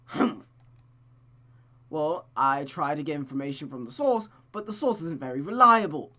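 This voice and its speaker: A performer voicing an angry, jealous, sharp-tongued woman in a visual novel. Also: mid-range voice, expressive, moderate pace. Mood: haughty, scornful.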